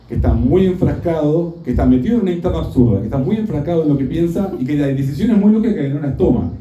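A man's voice: on the high side, mellow. A man in his thirties from Mar del Plata, speaking as a film critic on a bookstore panel in a wry, discursive way. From Mar del Plata, Argentina. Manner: discursive, wry, builds arguments in long runs. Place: bookstore event room. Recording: distant, echoey, dull room recording.

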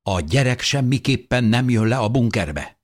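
Man doing a hostile, hoarse thin woman, high-pitched. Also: lively intonation, natural voice. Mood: hostile, panicked. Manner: harsh, accusing.

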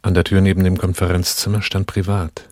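An actor playing a cool, laconic killer and former merchant in a radio crime drama, speaking tersely with a gravelly, husky voice. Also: male voice, low voice, little pitch movement, a little fast, darkish tone, a little hiss or hum, close to an everyday voice. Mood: detached narration. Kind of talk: German radio crime drama acting and narration.

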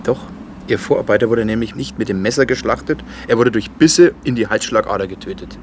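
An actor playing a dogged, sceptical police inspector in a naturalistic radio drama, speaking in a dry, probing, firm way. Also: male voice, lowish voice, fairly quick, slight background noise, natural voice. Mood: firm, confronting.

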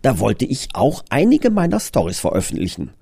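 A man's voice: mid-range voice, huge pitch swings, moderate pace, creaky, mellow, slightly rough, no echo, clean studio sound. A man presenting his own audio drama in a scripted but conversational trailer, explaining things earnestly.

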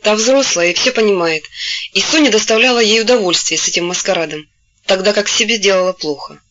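A woman narrating a novel in a steady storytelling voice, gently taking on the characters' voices when they speak. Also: medium pitch, brisk, dull audio. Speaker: audiobook narrator. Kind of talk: scripted literary narration.

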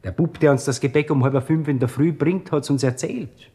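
An actor playing a deferential, gossipy coffeehouse waiter with a Viennese accent in a radio drama. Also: male voice, a little high, mellow, lightly acted. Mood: eager, gossipy. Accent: Viennese Austrian. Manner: servile, chatty, drawn-out storytelling.

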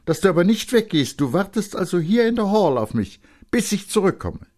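Man as a high-pitched, insistent defiant boy. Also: very animated, fairly quick, a little mellow. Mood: suspicious, commanding. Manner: cool, insistent, commanding. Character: stubborn, defiant young boy ordering adults around. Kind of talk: dramatic audiobook character reading.

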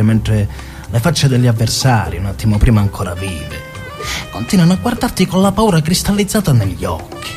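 A man's voice: medium pitch, darkish tone, lightly acted. A man playing a boastful, swaggering mafia gunman in a theatrical radio drama monologue. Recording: music behind the voice.

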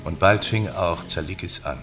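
An actor playing a grave, knowing padre in a radio drama, speaking in a measured, deliberate way. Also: male voice, low-pitched, over music, close to an everyday voice. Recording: clear insect-filled ambient noise behind voice.

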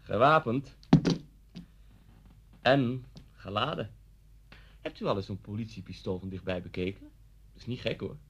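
A man's voice: lowish voice, expressive, measured pace, pauses often, a bit bright, a little hiss or hum. An actor playing a chatty, coolly menacing policeman in a radio thriller, polite and needling. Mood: relishing, menacing. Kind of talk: 1970s Dutch radio play acting. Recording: dull 1970s radio recording.

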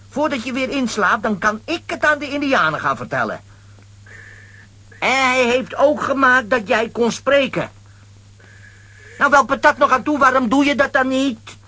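Blustering, bright-voiced comic man. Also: high voice, husky, no echo, heavily acted. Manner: blustering, stammering when caught out. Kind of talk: children's audio drama acting.

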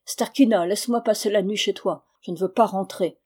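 A woman's voice: medium pitch, brisk, a little mellow, lightly acted. A woman voicing a wronged, hot-tempered, stubborn peasant husband in a dramatic audiobook reading, with a harsh, insistent manner. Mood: exasperated.

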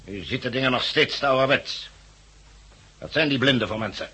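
A man's voice: medium pitch, brisk, pushed and bright, slightly rough. A man acting in a 1960s Dutch radio drama as a cold, officious committee envoy, formal and pompous, with a Netherlands accent. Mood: smugly authoritarian. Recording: muffled-edged vintage 1969 radio recording.

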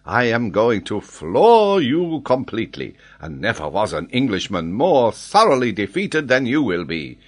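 A man voicing an excitable, triumphant French geographer who argues emphatically and draws out his key words. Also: mid-range voice, measured pace, hardly any pauses, pitched above the performer's own voice. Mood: triumphant, teasing.

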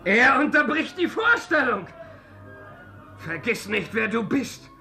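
An actor playing an aging, bitter, despairing playwright in a German radio play, theatrical, moving between muttering and grand outbursts. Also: male voice, very high voice, very animated, slow, creaky, over music, exaggerated voice. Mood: agitated, defiant.

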